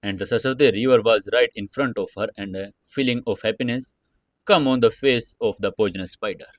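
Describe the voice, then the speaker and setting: A man with a low voice narrates an audio story. His reading is dramatic and scripted, yet halting, with stumbles over words. The sound is dry, with little room around it. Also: no echo.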